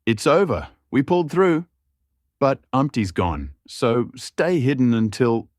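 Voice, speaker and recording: A man voicing an earnest, protective young hero in a fantasy story, urgent and halting when feelings rise. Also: medium pitch, big pitch swings, darkish tone, no room sound, clean studio sound, pitched above the performer's own voice. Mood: reassuring.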